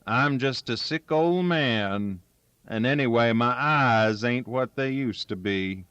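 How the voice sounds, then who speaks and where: A man's voice: medium pitch, slow, a bit bright, clean tone, no echo, muffled recording. A man voicing a wry old backwoods rascal with folksy dialect and a mock-doleful manner, in an audiobook-style story.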